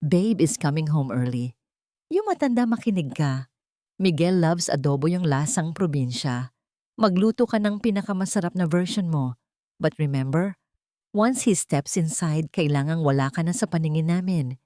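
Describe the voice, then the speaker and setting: A woman's voice: low voice, expressive, moderate pace, warm tone, no echo, studio quality. Woman doing a haughty, cruel bride-to-be. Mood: commanding. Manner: scornful, commanding, mixes English.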